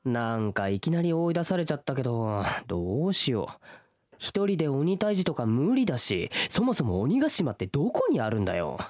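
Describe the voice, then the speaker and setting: A man's voice: mid-range voice, big pitch swings, normal speed, darkish tone, natural voice. A man playing a young folktale hero in a comedic radio drama, casual and slangy, with blunt straight-man retorts. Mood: casual, grumbling.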